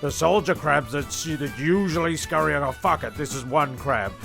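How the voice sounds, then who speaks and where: Comic radio-play narrator, male actor: gravelly, testy, rambling, stumbles and restarts, music behind. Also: a little high, normal speed, a bit bright. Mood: rambling storytelling.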